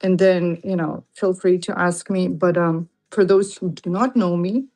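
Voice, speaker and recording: A woman's voice: on the low side, fairly even intonation, unhurried, mellow. A woman from Lithuania with a Lithuanian accent, hosting a casual late-night livestream from home, greeting viewers and laughing often as she talks. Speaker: livestream seller host. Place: home room, sitting on carpet.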